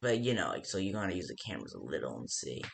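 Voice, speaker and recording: A man playing a hesitant, creaky-voiced game phone guide. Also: low voice, sing-song, racing, a bit bright, heavily acted. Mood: casual, louder. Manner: hesitant, rambling, full of stops and second thoughts. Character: rambling, hesitant male phone guide briefing a night guard. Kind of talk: improvised-sounding instructional phone call for a game.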